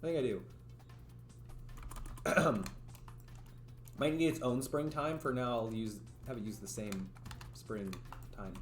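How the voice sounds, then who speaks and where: Male streamer, casual think-aloud coder, slightly raspy voice, roomy echo. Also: mid-range voice, fairly even intonation, slow pace, pauses often. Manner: thinks aloud, rambling, chats with viewers, frequent laughs. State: voice getting raspy from long talking. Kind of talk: casual livestream coding commentary. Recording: roomy echo, faint music.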